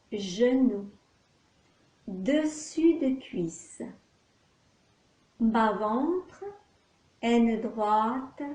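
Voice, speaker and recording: A woman teaching yoga nidra, leading a guided relaxation with calm, measured instructions and long pauses. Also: fairly high pitch. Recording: echoey room.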